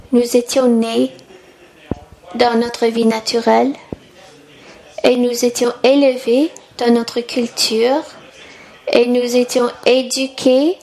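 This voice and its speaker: A woman's voice: on the high side, darkish tone. A woman interpreting a sermon, delivering the preaching phrase by phrase.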